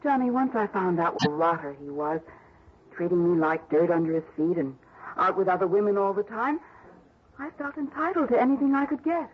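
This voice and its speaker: A woman's voice: medium pitch, a little fast, slightly rough, close to an everyday voice. An actress playing a blunt, slangy widow who does her own repairs, in a 1960s radio drama. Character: brash widow, handy and mercenary. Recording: dull old radio broadcast.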